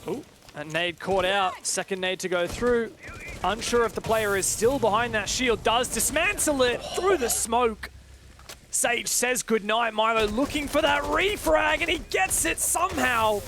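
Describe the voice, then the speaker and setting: A high-voiced man commentates a competitive video game live. His phrasing is clipped and dramatic, in the manner of a play-by-play caster. There is some light game noise behind him. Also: lively intonation, measured pace.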